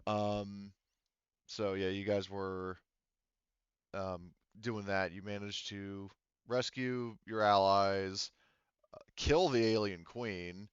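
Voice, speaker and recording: A man acting as game master, narrating a tabletop roleplaying session casually and haltingly, thinking aloud, over slightly lo-fi voice chat audio. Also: fairly low pitch, fairly even intonation, clean tone, no room sound.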